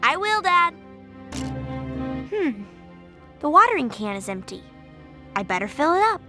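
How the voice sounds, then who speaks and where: Whiny bored little girl for a video game, high voice, really swingy, music behind. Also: sing-song, measured pace, pushed and bright, heavily acted. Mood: curious, perkier. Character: bored, restless young girl, curious and sulky. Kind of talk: video game cutscene voice acting.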